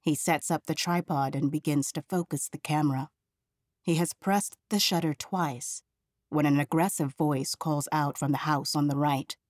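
A woman from Nigeria narrating a literary audiobook with precise diction in a measured, eloquent manner. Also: deep voice, a little mellow, no room sound, studio quality.

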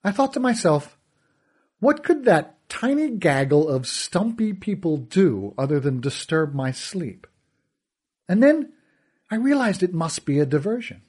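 A man's voice: sits high, very animated, unhurried, darkish tone, slightly rough, voice pushed up, full character voice. A man voicing a cruel, theatrical villain who gloats and taunts in a sneering, sing-song way. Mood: mocking.

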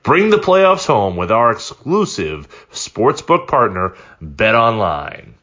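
A man hosting a podcast, reading a sponsor ad in an upbeat, conversational sales pitch. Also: very animated, slow, a bit bright, highs cut off. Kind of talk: conversational scripted ad read.

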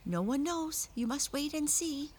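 A woman voices a little talking wolf's head from a storybook, pitched high. It gives grave warnings in a matter-of-fact way. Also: lively intonation, unhurried, a little mellow, slight background noise.

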